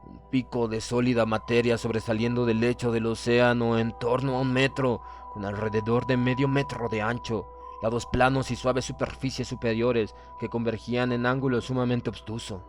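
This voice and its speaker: A man narrating a horror audiobook in the first person, reading solemnly and deliberately with theatrical emphasis. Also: mid-range voice, flat delivery. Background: music.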